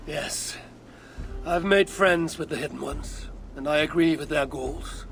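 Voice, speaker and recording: An actress playing a blunt, proud, suspicious Viking warrior woman in a video game, husky. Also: sits low, unhurried, faint music behind. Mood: guarded. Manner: blunt, curt, guarded. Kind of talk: dramatic video game cutscene acting.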